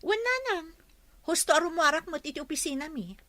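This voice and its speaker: An actress playing a jealous, indignant young wife in a radio drama. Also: female voice, high-pitched, expressive, fairly quick, a bit bright, no echo, clean studio sound.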